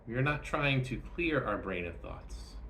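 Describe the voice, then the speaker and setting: A man leading a guided meditation, speaking gently and instructively in short phrases with frequent pauses. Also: medium pitch, lively intonation, slight background noise.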